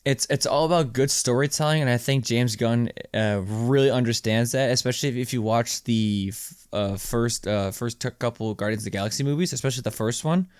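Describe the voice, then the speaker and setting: A man's voice: medium pitch, a little fast, hardly any pauses, clean tone, no echo, clean studio sound. A man co-hosting a podcast, talking casually about movies in an informal, rambling, explanatory way and repeating phrases for emphasis. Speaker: podcast co-host.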